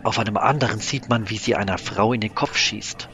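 A man's voice: lowish voice, steady tone, a little fast, pushed and bright. A man reading scripted scene-setting narration for a science-fiction audio drama.

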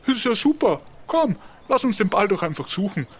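An easygoing gibbon dude, with lively, bouncing intonation. He is jovial and welcoming, chatting casually like an old buddy in a children's radio play. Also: sits high, moderate pace, breathy edge, full character voice. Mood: upbeat. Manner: casual, chuckling, relaxed phrasing. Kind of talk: children's audio drama acting.

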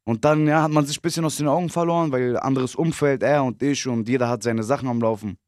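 A man in his twenties from Berlin, a rapper guest giving relaxed, short answers with easy laughs. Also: medium pitch, quick pace, no echo, studio quality. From Berlin, Germany. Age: 20s. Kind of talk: casual interview chat.

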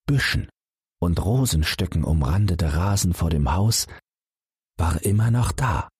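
A man reading a fairy tale as a calm bedtime story, gently addressing young listeners. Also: sits low, slightly rough, dry recording, clean studio sound. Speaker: audiobook narrator.

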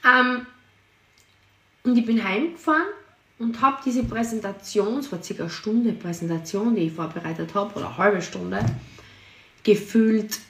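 A woman hosting a motivational podcast. Her voice is high and shrill, and she talks with energy and emphasis, piling up repeated words. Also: fairly bright tone, roomy sound, distant mic. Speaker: podcast host and sales team leader.

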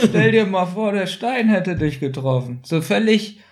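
A man co-hosting a casual review chat, critical and quick to interject. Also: sits high, expressive. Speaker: podcast co-host.

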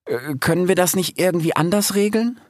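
A man voicing a sad, reluctant young-sounding male fox in a storybook, anxious, halting and stammering. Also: medium pitch, dry recording, clean studio sound, pitched above the performer's own voice, lightly acted.

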